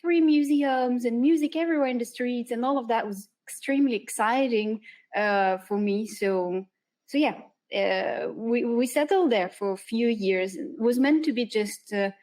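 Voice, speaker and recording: A woman's voice: on the high side, moderate pace, a little mellow. A woman, a guest chatting in an interview in a hesitant, thoughtful way and laughing often. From France. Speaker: guest illustrator and animator. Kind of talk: casual interview chat.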